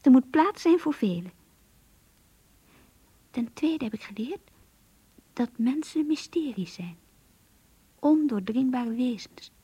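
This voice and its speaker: Radio drama diarist: idealistic young woman, troubled and searching, mellow tone, fairly high voice. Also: animated, slow pace, long pauses, husky, muffled recording, heavily acted. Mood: hushed, pensive. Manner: introspective, pleading, searching. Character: earnest, troubled idealistic young female office worker, confiding. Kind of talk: radio play diary monologue.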